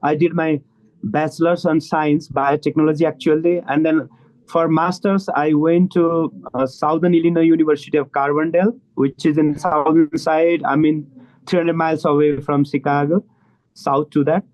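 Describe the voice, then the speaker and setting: A man with a Nepali accent speaks in a slightly raised register, talking things through with plenty of pauses and restarts. He answers as a guest on a video call. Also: on the high side, little pitch movement, darkish tone, low-quality recording. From eastern Nepal. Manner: hesitant, many fillers and restarts. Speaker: guest expert. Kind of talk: conversational interview.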